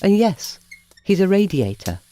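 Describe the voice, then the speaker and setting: A woman narrating a scripted children's bedtime story in an expressive storytelling manner. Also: mid-range voice, measured pace.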